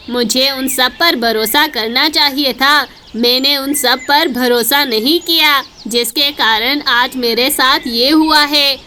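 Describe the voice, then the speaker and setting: A high, sharp-voiced male cartoon crow, acted out by a woman, speaks with emphatic insistence. Faint noise sits behind the voice. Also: full character voice. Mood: remorseful. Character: stubborn, distrustful male crow, later remorseful.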